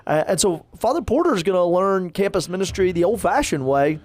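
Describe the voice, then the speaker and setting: A man's voice: high voice, lively intonation, brisk, darkish tone, no echo. A man, a parish priest chatting casually on a podcast, rambling with lots of fillers and restarts.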